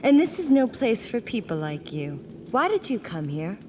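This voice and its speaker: A woman's voice: a little high, sing-song, normal speed, a little mellow, a little hiss or hum. An actress playing a curt, proud and guarded young woman medium in a video game, with a cold, dismissive delivery. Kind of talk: dramatic video game voice acting.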